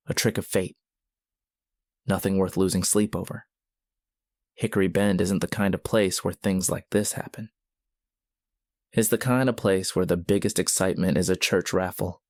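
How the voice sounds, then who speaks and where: A man narrating a scripted suspense story in a brooding, deliberate manner, with short dramatic phrases. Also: sits low, darkish tone, no echo, clean studio sound.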